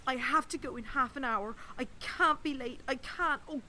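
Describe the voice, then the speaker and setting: An excitable, nervous young woman in an audio drama. Her voice is high and bright, gushing out breathlessly and urgently. Faint noise sits behind it. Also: moderate pace. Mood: anxious, hurried. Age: young adult.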